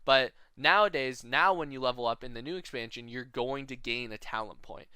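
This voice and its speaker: A man's voice: medium pitch, fairly quick, sharp tone, clear, resonant tone, no room sound. A man giving a casual, informal explainer as commentary for a gaming video. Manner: explanatory, informal, often checking with "right?". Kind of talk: casual explainer commentary over game announcement images.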